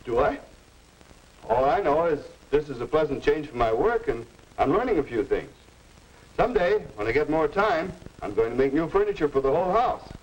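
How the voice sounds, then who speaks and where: A good-natured, middle-aged dad in a 1950 classroom film. His slightly high voice moves with lively swings, modest and folksy. He sounds a bit away from the mic on the old soundtrack. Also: moderate pace, distant mic, poor audio. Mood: modest, contented. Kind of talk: 1950 educational film acting.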